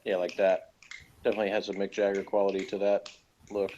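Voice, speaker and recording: A man's voice: lowish voice, completely flat delivery, fast, mellow, slight background noise. Blunt man, slightly muffled lo-fi recording. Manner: blunt, critical. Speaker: reviewer. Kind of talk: casual chat reviewing a TV episode.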